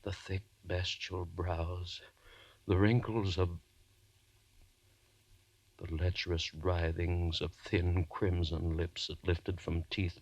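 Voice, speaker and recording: Haunted condemned man, low-voiced. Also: creaky, slightly rough, dry recording, lo-fi sound, heavily acted. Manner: theatrical, halting, feverish first-person narration. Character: haunted condemned man recounting his nightmares, terrified, desperate. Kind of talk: 1940s radio horror acting. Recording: 1940s radio broadcast recording.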